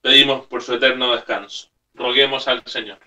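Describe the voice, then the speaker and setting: A middle-aged man, a priest, giving a homily and prayers at Mass in earnest phrases broken by pauses. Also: mid-range voice, fairly even intonation, sharp tone, distant mic. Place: small room at home, indoors. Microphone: camera a few metres away.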